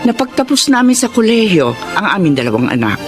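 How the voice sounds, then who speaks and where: A woman's voice: medium pitch, big pitch swings, fast talker, over music, natural voice. An actress with a Filipino accent playing an earnest middle-aged wife and mother in a radio drama. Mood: reflective, troubled. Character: Laura, worried middle-aged wife, mother and schoolteacher, narrating. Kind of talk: radio drama acting and narration.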